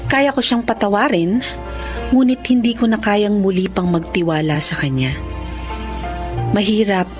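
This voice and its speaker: A woman's voice: mid-range voice, measured pace, pauses often, over music, lightly acted. A woman playing a betrayed but resolute wife, emotional and earnest, in a Tagalog radio drama. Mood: reflective.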